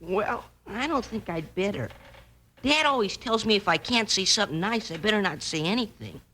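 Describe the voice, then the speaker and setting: Earnest little kid in a cowboy radio show, bit raspy, very expressive pitch, says it straight. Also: female voice, normal speed. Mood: frank. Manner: plain-spoken, childlike. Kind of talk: 1950s Western radio acting.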